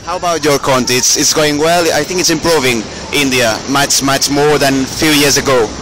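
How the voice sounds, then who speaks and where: A man's voice: a little high, steady tone, fairly quick, a bit bright. Man interviewing on a noisy street. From Barcelona, Spain. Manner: hesitant, restarts often in non-native English. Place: outdoors on a city street.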